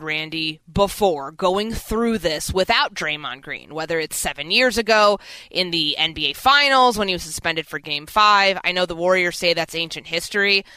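A woman's voice: lowish voice, bright, forceful tone, clear, resonant tone, dry recording, rough recording. A woman hosting sports talk radio, giving fluent, assertive commentary.

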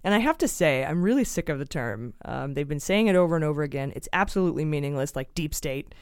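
Sarcastic low-voiced woman podcaster. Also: animated, fast talker, darkish tone, clear, resonant tone, no room sound, clean studio sound. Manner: sarcastic, laughs often, trails off and restarts. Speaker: podcast co-host. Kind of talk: casual political chat.

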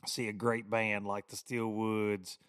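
A hoarse man in his forties with a Tennessee Southern accent, hosting a casual podcast in a folksy, rowdy way with loud bursts. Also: fairly low pitch, level tone, slow pace, dry recording, clean studio sound. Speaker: podcast host. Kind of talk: casual solo podcast monologue.

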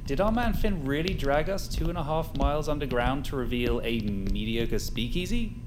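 Sarcastic male skeptic actor, medium pitch, dry delivery, music behind. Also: animated, moderate pace, close to an everyday voice. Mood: sardonic. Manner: dry, sardonic. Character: skeptical, dryly sarcastic expert, unimpressed man. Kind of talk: audio drama acting.

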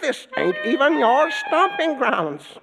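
A man voicing an indignant male rabbit who squeals and stammers quickly. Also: extremely high pitch, big pitch swings, creaky, big-room sound, music in the background, pitched above the performer's own voice, exaggerated voice.

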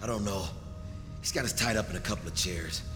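A frightened captive, played by a man pleads in a lowish, shaken voice. Music rolls behind his panic in this horror radio play. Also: a little fast, natural voice. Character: frightened captive deputy named Bradley. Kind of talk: radio drama acting.

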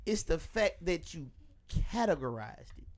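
A man telling a story in a casual group chat, loud and emphatic, repeating his points. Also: a little high, very animated, a little slow.

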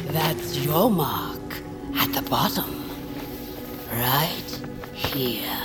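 A woman playing a menacing vulture interrogator in an audio drama, speaking deliberately and theatrically with cold threat. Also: low-pitched, expressive, loud music behind the voice, exaggerated voice. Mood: stern, scornful. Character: menacing vulture lord, interrogator and assassin hunter.

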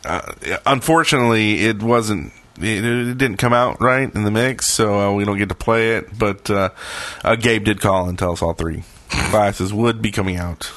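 A man's voice: on the low side, normal speed, a bit bright. A man co-hosting a podcast in a casual, conversational style. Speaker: podcast host. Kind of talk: casual group chat.

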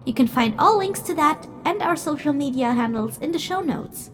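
A very high, swooping female spirit teases mortals with theatrical relish. She is a playfully condescending fortune-teller in an audio drama, heard over faint ambient noise. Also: lively intonation, moderate pace. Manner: theatrical, teasing, chuckling. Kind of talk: audio drama acting, direct address to listeners.

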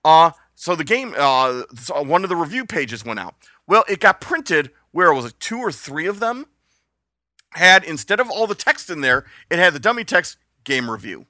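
Bright, animated man telling stories. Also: fairly high pitch, moderate pace, no echo, clean studio sound. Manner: animated, laughs often, acts out quoted voices. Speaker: podcast co-host. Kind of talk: casual storytelling chat.

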